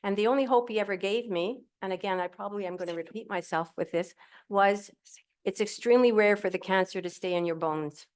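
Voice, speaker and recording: A woman's voice: medium pitch, a little fast. Cheerful woman telling her story. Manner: cheerful, candid, rambling storyteller. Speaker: panel guest. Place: event hall with audience. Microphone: handheld microphone.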